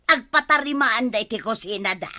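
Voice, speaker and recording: A woman's voice: a little high, animated, a little fast, bright, forceful tone, no room sound, studio quality. A woman with an Ilocano accent playing a suspicious, complaining village neighbour in a radio comedy drama. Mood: suspicious, grumbling.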